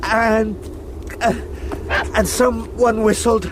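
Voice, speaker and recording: An actor playing a terrified young solicitor in a radio drama, breathless, distressed and speaking in halting, broken phrases. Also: male voice, sits high, flat delivery, heavy background noise. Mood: terrified, dazed. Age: young adult. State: distressed, breathless, near crying. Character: terrified, half-drowned young male solicitor.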